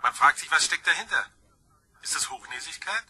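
A needling moocher brother, radio crime play, bright edgy voice, swings all over. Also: male voice, fairly high pitch, expressive, brisk, husky, exaggerated voice. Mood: mocking, needling. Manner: mocking, theatrical, falsely sweet. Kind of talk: German radio crime drama acting.

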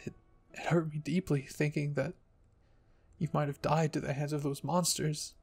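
A man voicing an earnest, protective teenage boy soldier in a story reading, with a halting, sincere manner and a husky voice. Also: a little high, fairly even intonation, faint music behind. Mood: pained.

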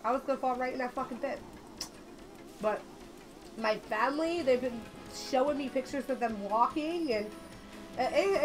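Raspy high-voiced woman gaming on a headset. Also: low-quality recording. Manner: chatty, swears often, loud outbursts at the game. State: a little sick. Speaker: gaming channel host. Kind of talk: casual let's-play commentary.

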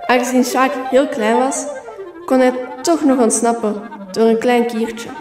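A woman's voice: fairly high pitch, steady tone, unhurried, lightly acted. A woman reading scripted narration for a radio play. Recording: echoey room, faint music.

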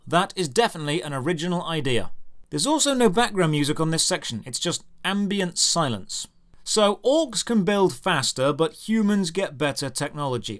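A man hosting a scripted game review in a sarcastic way, with theatrical emphasis on key words. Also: fairly high pitch. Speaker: video series host.

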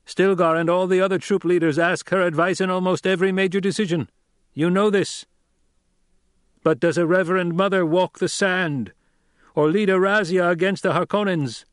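A man voicing a young male desert leader who speaks forcefully and questions his listeners rhetorically, in an audiobook. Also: sits high, steady tone, normal speed, no room sound, studio quality, pitched above the performer's own voice, natural voice. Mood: commanding. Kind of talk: audiobook character voice.

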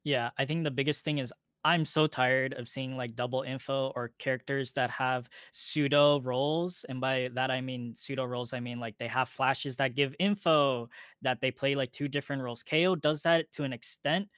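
A man who coaches an esports team speaks over a video call, weighing his words frankly. His voice sits at a middle pitch, recorded dry and close. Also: steady tone, normal speed, smooth, dry recording. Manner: thoughtful, self-critical, says he is loud.

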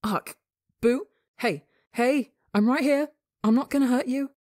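An affectionate young man with antennae speaks gently and reassuringly, his voice a little shaky as he tries to stay calm, as a woman reading a story plays him. The voice itself sits on the lower side. It sounds close and dry. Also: a little slow, dry recording. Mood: shaky, soothing.

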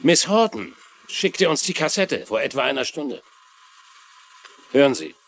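Ein Schauspieler spielt einen skeptischen, sachlich argumentierenden Polizei-Lieutenant in einem synchronisierten Fernsehkrimi. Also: male voice, medium pitch, very animated, unhurried, a little hiss or hum. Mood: matter-of-fact. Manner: matter-of-fact, argumentative. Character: sceptical, matter-of-fact male police lieutenant. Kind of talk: German-dubbed TV crime dialogue.

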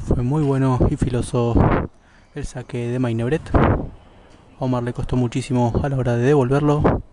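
An Argentine man giving measured live tennis commentary from an outdoor court, pausing between plays. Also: medium pitch, flat delivery. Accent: Argentine Spanish. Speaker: amateur tennis match commentator. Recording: noisy amateur camera audio, clicks.

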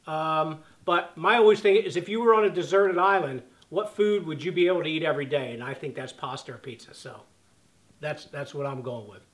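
A man's voice: on the high side, brisk, a bit bright. A man in his fifties chatting casually in a living room, reading fan questions aloud with many fillers and restarts. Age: 50s. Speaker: channel host answering fan questions. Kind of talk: casual chat. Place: living room by a fireplace, sitting on the floor.

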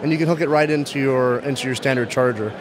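A man's voice: mid-range voice, quick pace, poor audio. A man in his thirties demonstrating a product in an interview, speaking into a handheld microphone at a trade show. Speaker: brand manager demonstrating a product. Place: busy trade show floor.

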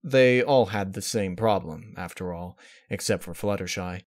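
A man's voice: low voice, normal speed. A man reading a fan-fiction story aloud as scripted narration.

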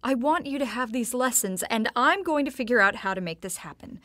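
A woman playing a tender, doting mother in an audio drama, with gentle, proper, slightly theatrical diction. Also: fairly high pitch, expressive, brisk, no echo, clean studio sound. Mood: tender, resolute.

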